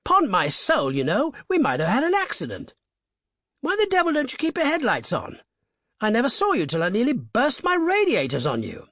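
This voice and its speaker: A man's voice: very high voice, huge pitch swings, normal speed, a bit bright, dry recording, clean studio sound, voice pushed up, full character voice. A man doing a flustered posh young motorist. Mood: aggrieved. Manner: blustering, upper-class exclamations. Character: indignant young English gentleman motorist, flustered.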